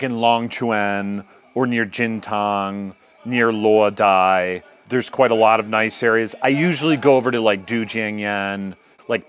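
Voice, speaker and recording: An American man in his thirties talking casually in a sit-down interview as a hiking guide. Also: fairly low pitch. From United States. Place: open wooden balcony among green hills. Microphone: clip-on lapel mic. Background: music.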